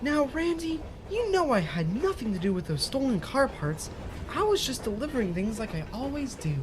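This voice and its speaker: A woman's voice: sits high, very animated, normal speed, background noise. A woman playing a cheerful, shady fixer who talks in a sing-song children's show manner in a parody audio drama. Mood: placating.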